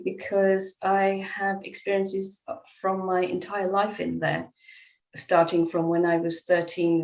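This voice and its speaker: A middle-aged woman from England, answering interview questions in a reflective, conversational way, often restarting her sentences. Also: fairly low pitch. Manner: reflective, with frequent restarts and soft laughs. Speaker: author and life coach guest. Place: at home in Spain, remote call. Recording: echoey room, slightly dull line.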